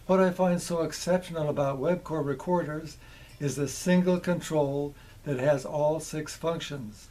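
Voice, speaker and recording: An older man in his seventies narrating a scripted demonstration in a measured, methodical way. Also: fairly high pitch, warm tone. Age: older, around 70s. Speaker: presenter of vintage electronics. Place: small indoor electronics workshop.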